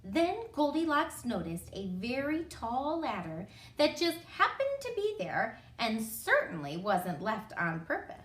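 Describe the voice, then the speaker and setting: A woman's voice: sits high, fairly bright tone. A woman, a schoolteacher, reads a children's story aloud expressively, with emphatic phrasing and wry asides. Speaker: first grade teacher. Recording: roomy echo.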